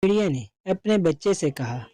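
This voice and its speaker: A woman's voice: on the low side, lively intonation, moderate pace, dark tone, no echo. A woman narrating a scripted children's story as its storyteller.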